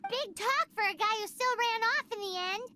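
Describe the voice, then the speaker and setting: Squeaky, very high fairy sidekick. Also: female voice, a little fast, heavy background noise. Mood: teasing, indignant. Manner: talks about self in third person, teasing. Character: tiny childlike fairy companion, cheeky and excitable, female. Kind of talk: video game voice acting.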